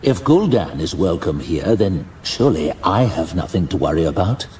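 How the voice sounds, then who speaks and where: A male narrator voicing a wry, determined older male archmage who stays calm under pressure. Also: low-pitched, moderate pace, recorded from a distance, slight background noise, pitched below the performer's own voice. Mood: wry, light. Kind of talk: audiobook character voice.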